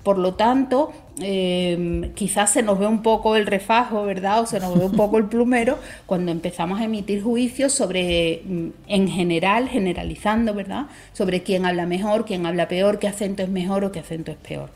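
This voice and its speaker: Female expert guest, mid-pitched, measured explainer with conversational tag questions. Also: a little mellow. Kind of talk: conversational explanation on a talk show.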